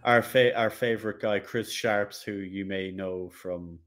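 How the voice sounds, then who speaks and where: A man's voice: on the low side, fairly even intonation, measured pace, a bit bright. A man co-hosting a podcast about games, speaking in a casual, conversational style. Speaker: podcast co-host. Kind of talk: casual gaming podcast chat.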